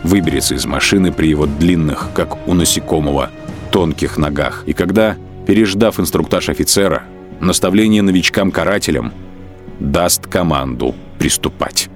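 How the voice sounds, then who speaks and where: A man giving grave, measured scripted narration for a radio play, with a Russian accent. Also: a bass voice. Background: music.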